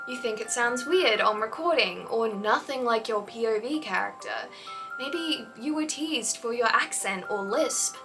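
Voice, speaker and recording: A woman's voice: a little high, recorded from a distance. A young woman YouTuber giving a scripted, earnest and encouraging explainer about fanfiction.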